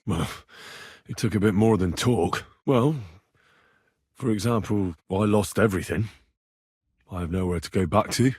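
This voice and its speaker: An actor playing an earnest, cheeky young medieval adventurer in a video game, plain-spoken and conversational. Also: male voice, fairly low pitch, a little slow, long pauses, darkish tone, husky, no room sound, studio quality. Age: young adult. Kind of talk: video game character dialogue.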